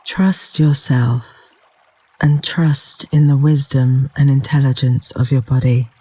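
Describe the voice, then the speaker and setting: A man leading a guided yoga nidra meditation in a gentle, soothing, unhurried manner with long pauses between phrases. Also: mid-range voice, steady tone, husky, dry recording. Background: flowing river water sounds.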